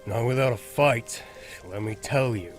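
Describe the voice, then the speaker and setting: A man performs a hot-headed young survivor in an audio drama, with an average male pitch and a blunt, wry, sarcastic delivery. Rain and music are heard behind him. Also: unhurried, natural voice. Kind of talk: fan audio drama dub acting.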